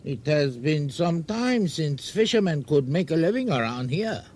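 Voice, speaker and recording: A sly, suspicious island merchant in a radio drama, with a high, swooping voice. He haggles slowly and needles in clipped, formal phrases. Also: very animated, a little mellow. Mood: probing.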